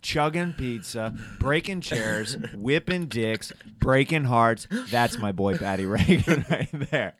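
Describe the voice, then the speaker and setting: A man's voice: medium pitch, expressive, slow pace, few pauses, fairly bright tone. A male comedian and host doing improvised banter in a theatrical, exaggerated manner.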